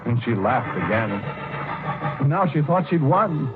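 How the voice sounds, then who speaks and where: An actor playing a haunted, fearful husband in an old-time radio horror drama, speaking slowly and haltingly with brooding, heavy pauses. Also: male voice, mid-range voice, lively intonation, lightly acted. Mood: horrified. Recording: muffled, tinny vintage radio recording with music. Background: loud music.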